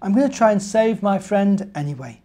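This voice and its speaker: A man's voice: sits high, big pitch swings, moderate pace, dark tone, pitched above the performer's own voice. A British man voicing a tiny, stubborn female ant in a children's picture book, insistent and emphatic. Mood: determined.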